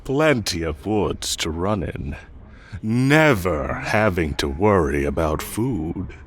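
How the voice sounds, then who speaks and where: A man's voice: sits low, expressive, slightly rough, heavily acted. A man playing a smug, possessive and menacing fae king in roleplay audio, theatrical and condescending as he speaks directly to the listener. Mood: teasing, seductive. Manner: theatrical, condescending, slow deliberate coaxing.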